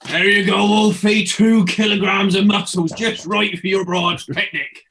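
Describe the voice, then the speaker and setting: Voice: medium pitch, fairly even intonation, unhurried, fairly bright tone, slight background noise. An actor playing a wry, joking village fishmonger in a comedy radio play, bantering with customers.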